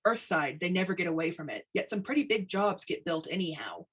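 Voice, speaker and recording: A woman voicing a plain-spoken older male supervisor, wry, steady and fatherly, in a storybook reading. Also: on the low side, fairly quick, breathy edge. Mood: coaxing.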